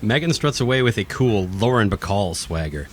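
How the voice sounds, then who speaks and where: A man's voice: lowish voice, animated, moderate pace, slight background noise. A man reading scripted narration for a radio play. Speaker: narrator, podcast host.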